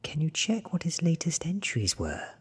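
An ancient, secretive vampire woman, read by a man, from a whispered storybook. The voice is very breathy and lifts higher than the reader's own, hushed and alert. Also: wildly swooping pitch, moderate pace, full character voice. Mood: curious. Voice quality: soft, breathy.

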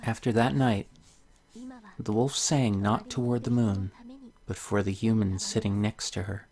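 A man's voice: lowish voice, steady tone, unhurried, darkish tone. A man hosting a video game playthrough, commenting on the game and reading its story text aloud. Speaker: let's play host.

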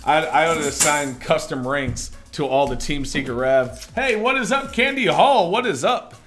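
A young man with a hoarse, scratchy voice, chatting upbeat and casually as a livestream host while greeting viewers. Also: on the high side, animated, normal speed, fairly bright tone, soft background music. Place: indoors at a desk.

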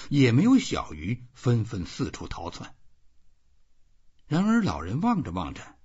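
A man narrating a literary audiobook in an expressive reading style. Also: medium pitch, breathy edge. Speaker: audiobook reader. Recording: dull, muffled lo-fi audio.

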